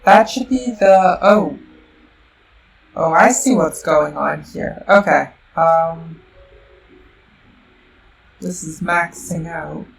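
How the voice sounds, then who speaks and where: A woman streaming a board game, thinking out loud with plenty of fillers and restarts, her voice worn by a sore throat and a little robotic-sounding. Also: sits low, slow pace, creaky, long pauses. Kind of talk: casual live-stream game commentary. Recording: roomy, distant mic with faint noise.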